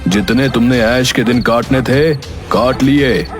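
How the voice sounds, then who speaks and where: A man playing a boastful, murderous village chieftain in a cartoon, speaking in a stern, deliberate, threatening way. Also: mid-range voice, music in the background, lightly acted. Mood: menacing. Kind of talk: dramatic Hindi cartoon dialogue.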